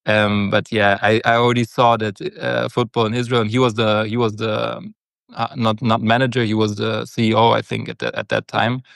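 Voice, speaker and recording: A man's voice: fairly low pitch, flat delivery, fairly quick, vocal fry, fairly bright tone, no room sound, studio quality. A young man from Germany, a football captain, talking casually in an interview with many hesitations. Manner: hesitant, many fillers and restarts.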